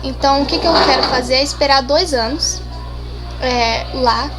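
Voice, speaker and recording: High-pitched brazilian teen girl, shy, lots of ums and restarts, music in the back. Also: moderate pace, rough recording. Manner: shy, hesitant, many restarts and fillers. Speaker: interview guest. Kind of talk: casual conversational answers.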